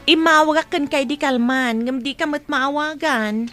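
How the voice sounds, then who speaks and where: A woman's voice: sits high, measured pace, a bit bright, soft background music. A woman playing a considerate, chatty young friend in a radio drama. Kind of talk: Ilocano radio drama acting.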